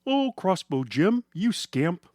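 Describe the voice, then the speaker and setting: A man voicing a good-natured, easily flustered small-town baker in a comic audio story, with an excitable, hurried manner. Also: no echo, clean studio sound, full character voice. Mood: amused. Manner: hurried, high-pitched, excitable.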